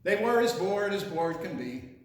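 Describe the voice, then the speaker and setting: Male children's storyteller, high voice, unhurried drawn-out phrasing, playful singsong, animal noises, heavy room echo. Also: big pitch swings. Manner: playful, drawn-out words with sung phrases and chatty asides. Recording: very echoey room.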